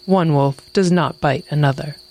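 A hungry storybook wolf, greedy and rude, cutting others off with blunt impatience, as a woman reader plays him. The tone stays low and heavy, close to the reader's own deep voice. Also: moderate pace, a little mellow.

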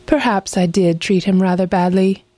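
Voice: mid-range voice, very animated, normal speed, very noisy, voice pushed up, lightly acted. A storybook narrator voicing a sly female fox, a sweet-talking and mocking trickster who coaxes and exclaims. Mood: smug. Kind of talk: animal fairy tale storytelling.

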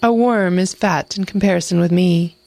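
A woman voicing a sly, polite mother fox who is thin and hungry, talking smoothly and flatteringly. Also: lowish voice, moderate pace, no echo, voice pushed up.

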